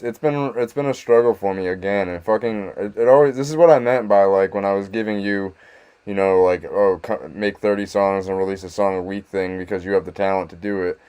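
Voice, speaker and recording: Deep smooth-voiced man chatting. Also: a little mellow. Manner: halting, self-deprecating, laughs often. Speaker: guest rapper. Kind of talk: casual podcast chat.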